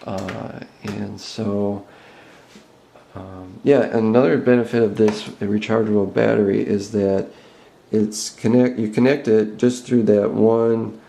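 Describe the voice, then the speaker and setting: Casting: man, low and creaky, hesitant amateur demonstrator explaining gear unscripted. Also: steady tone, dark tone. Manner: halting, hesitant, plain-spoken. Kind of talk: unscripted explanatory video tour. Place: indoor room with bare walls. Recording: roomy indoor echo.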